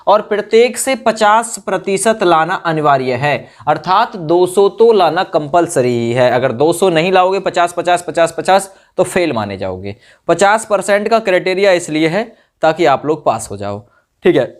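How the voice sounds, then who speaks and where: A man's voice: high-pitched, big pitch swings, moderate pace. A man giving an informal explainer to students, repeating phrases for emphasis and checking in with short tag questions. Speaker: exam-news presenter. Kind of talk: informal explainer addressed to exam candidates.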